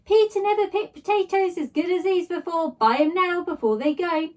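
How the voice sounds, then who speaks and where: A woman voicing a loud, brash female market trader hawking her wares in a children's story. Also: extremely high pitch, normal speed, voice pushed up.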